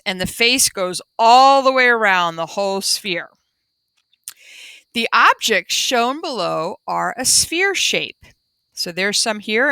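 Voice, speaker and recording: A woman's voice: medium pitch, bright, forceful tone, no echo. A woman teacher reading a children's book aloud and adding simple explanations for young pupils. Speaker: primary school teacher.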